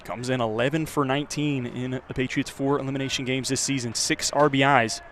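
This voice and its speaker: A man's voice: medium pitch, steady tone, slight background noise. American man calling baseball play-by-play. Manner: measured talk that jumps louder on plays. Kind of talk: live sports radio commentary.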